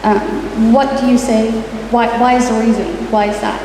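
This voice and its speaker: A woman from Iraq moderating a public panel, polite and hesitant, with many pauses. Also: fairly high pitch, flat delivery. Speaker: event moderator, organizer. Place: large hall at public panel event. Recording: distant, echoey, noisy hall.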